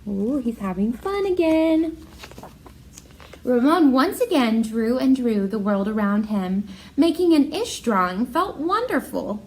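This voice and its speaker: A woman teacher with an expressive, encouraging manner, reading a picture book aloud and talking directly to young pupils. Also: on the high side, a little slow, poor audio.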